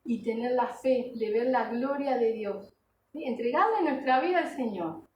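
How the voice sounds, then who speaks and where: A woman delivers a sermon in a high-pitched voice. She exhorts earnestly, putting questions to her listeners and checking in with short tags. The room is very echoey, and she sounds some way from the microphone. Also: expressive, normal speed, lo-fi sound. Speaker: preacher. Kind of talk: sermon to a congregation. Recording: echoey room, distant mic, background noise.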